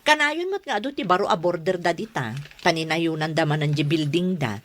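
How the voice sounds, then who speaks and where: An actress in an Ilocano radio drama playing a skeptical, scolding elder sister with a chiding, matter-of-fact manner. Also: female voice, fairly low pitch, animated, fairly quick, fairly bright tone. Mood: teasing.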